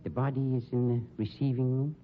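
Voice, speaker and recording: An actor playing a suave, sardonic and sinister funeral home boss in a polished, domineering 1950s radio drama style. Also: male voice, mid-range voice, steady tone, a little fast, natural voice. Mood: dryly sardonic. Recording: muffled old radio recording with hiss.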